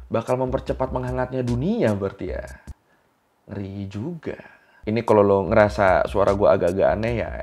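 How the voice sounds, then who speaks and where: A young man with a Jakarta accent narrates an explainer in a medium-pitched voice. He keeps it chatty and slangy, often addressing the listener directly, with music playing softly behind him. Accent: Jakarta Indonesian. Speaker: explainer video host.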